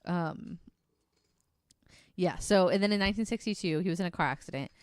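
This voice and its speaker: A woman's voice: on the low side, fairly quick, clear, resonant tone. A woman co-hosting a podcast, telling true-crime stories in a casual, amused, informal and sweary way. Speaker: podcast co-host.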